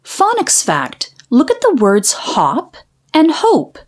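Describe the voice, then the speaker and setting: A woman reads aloud for young children at an unhurried pace. Her voice is fairly high and swings widely in pitch. She is careful and deliberate, drawing out key sounds. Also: huge pitch swings. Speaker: read-aloud storyteller for children. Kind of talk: scripted children's story and phonics reading.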